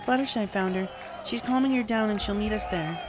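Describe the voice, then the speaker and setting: Man plays young female unicorn, high, friendly, brisk cartoon delivery. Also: over music, crowd noise, close to an everyday voice.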